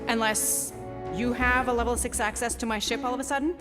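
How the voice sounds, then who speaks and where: A woman's voice: fairly high pitch, steady tone, brisk, close to an everyday voice. An actress playing a stern, exasperated mother who commands a spaceship in a video game, speaking firmly and in clipped orders. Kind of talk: cinematic video game acting. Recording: long hall reverb, music behind.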